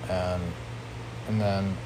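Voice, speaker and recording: Man, poet podcasting his own work, deep voice, unhurried, rambling self-talk, noisy room with air-conditioner hum. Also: level tone. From United States. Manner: muses aloud, questioning and answering himself. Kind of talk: rambling self-questioning monologue.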